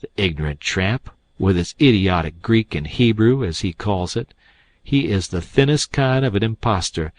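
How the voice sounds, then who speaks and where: A man's voice: on the low side, expressive, moderate pace, no room sound, close to an everyday voice. A man voicing a stern, indignant older doctor who speaks bluntly and scornfully. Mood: urgent.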